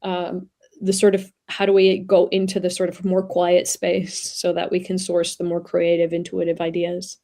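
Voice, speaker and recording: A woman in her forties from Venezuela, talking as an interview guest in a reflective way, pausing and searching for words as she explains. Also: lowish voice, monotone, mellow. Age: 40s. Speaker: guest, executive coach. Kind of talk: conversational interview.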